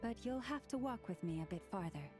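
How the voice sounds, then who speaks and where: A woman voicing a gracious, devout priestess in an anime game, speaking in a formal, measured, reverent way. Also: on the high side, lively intonation, over music, lightly acted. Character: gracious, devout priestess welcoming her goddess.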